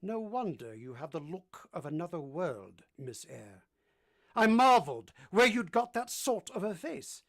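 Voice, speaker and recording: An actor playing an imperious, blunt middle-aged English master of the house in a vintage-style radio drama. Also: male voice, high voice, sing-song, measured pace, heavily acted. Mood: stern, probing.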